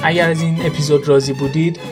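A man's voice: a little high, level tone, loud music behind the voice. A man presenting a scripted announcement for an audio drama podcast.

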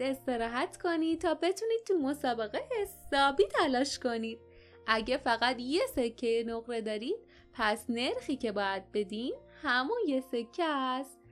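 A woman voicing a cheerful, plump middle-aged innkeeper in an audiobook, speaking in a loud, friendly, bustling way. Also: sits high, animated, faint music behind. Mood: eager.

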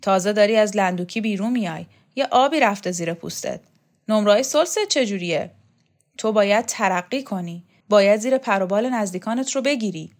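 A woman's voice: mid-range voice, animated, natural voice. A woman voicing a blunt, coarse middle-aged strongman who scolds and swears. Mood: gruff tender. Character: tough, blunt middle-aged male strongman, scornful and gruff.

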